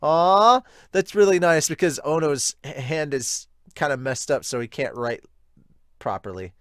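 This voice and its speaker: A man hosting a manga review, giving casual read-along commentary with amused, excitable asides. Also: a little high, unhurried, dry recording, clean studio sound. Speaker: host of a manga review podcast.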